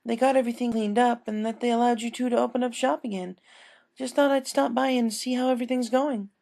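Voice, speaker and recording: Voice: mid-range voice, fairly even intonation, fast talker, gravelly, a little mellow, pitched above the performer's own voice, close to an everyday voice. A narrator voicing a friendly, sympathetic female pony customer, chatty and polite, in a story reading.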